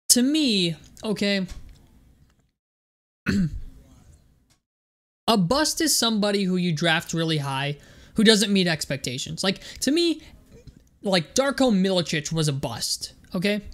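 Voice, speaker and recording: Casual man with a rough, strained voice. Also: high voice, fairly bright tone. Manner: rambling, repeats words, many fillers. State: voice rough from medication. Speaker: sports vlogger. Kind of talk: casual basketball commentary vlog.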